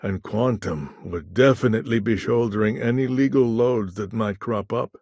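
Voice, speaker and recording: A man acting a shrewd, self-assured businessman in a video game, with a wry, smooth-talking manner. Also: fairly low pitch, normal speed, darkish tone, no echo, clean studio sound. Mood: businesslike.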